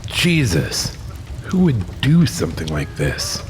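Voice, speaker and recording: Man playing low-voiced, animated anxious police negotiator. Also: slow pace, faint music behind, exaggerated voice. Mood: alarmed, urgent. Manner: urgent, pleading, coaxing. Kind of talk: audio drama acting.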